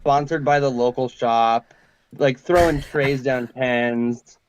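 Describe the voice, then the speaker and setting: A man in his mid-thirties, a guest telling relaxed stories about his work and his youth in a modest way. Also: mid-range voice, slow, a little hiss or hum, low-quality recording. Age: 30s. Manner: modest, relaxed storyteller, says like and dude. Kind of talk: casual interview chat.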